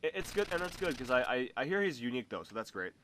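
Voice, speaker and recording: A young man co-hosting a casual video game chat, talking quickly with lots of restarts. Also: a little hiss or hum. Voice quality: deep bass voice. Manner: fast, animated, stumbling restarts. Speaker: co-host.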